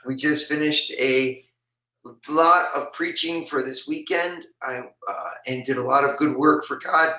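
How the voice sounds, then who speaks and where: A man preaching and leading prayers for an online talk, his voice a little hoarse, speaking earnestly with many fillers and slowing down for scripture. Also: a little high, steady tone, sharp tone, echoey room, lo-fi sound. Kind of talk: spoken teaching and prayer.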